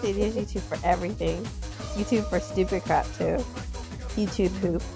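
A woman's voice: lowish voice, fairly even intonation, moderate pace, gravelly, over music. A woman in her twenties chatting casually, rambling through stories and giggling often. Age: 20s. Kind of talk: casual chat.

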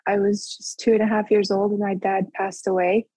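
A young woman in her twenties, a guest introducing herself calmly and plainly. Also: medium pitch, normal speed, dry recording. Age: 20s.

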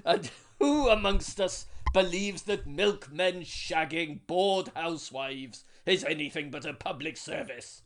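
A man playing a pompous Welsh trade union leader giving a grand, booming speech in a comedy sketch. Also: unhurried, hardly any pauses, fairly bright tone. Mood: grandiose. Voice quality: booming, grandiose rally oratory.

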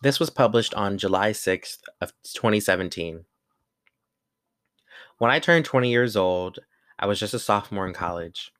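A man in his twenties with a very low, deep voice, recorded close and dry with no room sound. He talks in a relaxed, candid way, pausing on fillers and correcting himself as he goes. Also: dry recording. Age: 20s. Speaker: vlogger. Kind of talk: reading his own essay aloud, then casual reflection.

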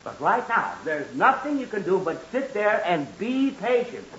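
Flustered, high-strung American radio dad. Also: male voice, very animated, a little slow, fairly bright tone, heavily acted. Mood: impatient, instructing. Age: middle-aged. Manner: sputtering, put-upon, easily exasperated. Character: flustered, exasperated suburban father of a teenage son. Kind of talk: 1940s radio comedy acting. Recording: muffled, hissy 1940s radio broadcast.

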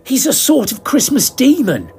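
A man's voice: extremely high pitch, wildly swooping pitch, normal speed, creaky, a little mellow, a little hiss or hum, voice pushed up, full character voice. A man voicing a nervous, earnest little stage actor who explains things anxiously. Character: timid male stage actor, worried sidekick.

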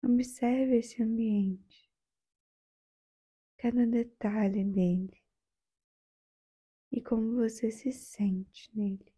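A woman with a Brazilian accent leading a guided meditation in a soft, soothing, gentle way, leaving long pauses. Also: fairly high pitch, steady tone, husky.

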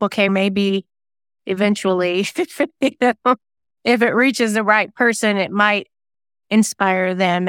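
A woman's voice: mid-range voice, a bit bright, clean tone, dry recording, studio quality. A woman giving reflective, earnest answers as a guest in a conversational interview. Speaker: guest, singer-songwriter and novelist.

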